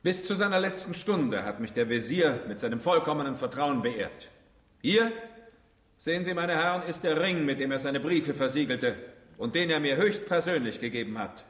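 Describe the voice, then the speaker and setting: Proud count in an echoing hall. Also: male voice, on the high side, lively intonation, normal speed, a bit bright. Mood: solemn, assured. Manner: formal, declamatory, emphatic. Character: proud accused military count, solemn and defensive. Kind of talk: radio drama acting. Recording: echoey hall with long reverb.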